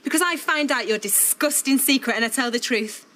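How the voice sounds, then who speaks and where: An actress playing a defiant, self-pitying grown-up daughter in a TV soap, sarcastic and accusing, with a Northern English accent. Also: female voice, high voice, brisk, a bit bright. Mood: aggrieved. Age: young adult. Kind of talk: naturalistic television soap drama acting.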